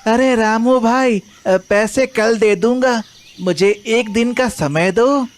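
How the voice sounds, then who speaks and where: A man voicing a poor villager in a children's story, with an expressive, drawn-out way of talking. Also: very high voice, voice pushed up. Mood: pleading. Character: poor, worried, grateful male villager.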